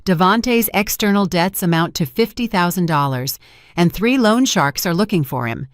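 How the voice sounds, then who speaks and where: A woman's voice: lowish voice, animated, normal speed, no echo, studio quality, voice pushed up, close to an everyday voice. A woman voicing a loyal male aide, formal, deferential and businesslike, in a story. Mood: deferential, efficient. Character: loyal, efficient male aide reporting to his boss.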